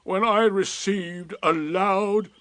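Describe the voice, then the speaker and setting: A man voicing Eeyore, a gloomy old grey donkey who talks in a slow, sarcastic drawl in a storybook reading. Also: high voice, steady tone, a bit bright, no echo, voice pushed up. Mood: glum.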